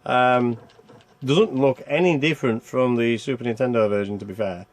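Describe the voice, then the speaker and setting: A man's voice: medium pitch, normal speed. A man giving casual, rambling gameplay commentary, thinking aloud as he plays and reviews a video game.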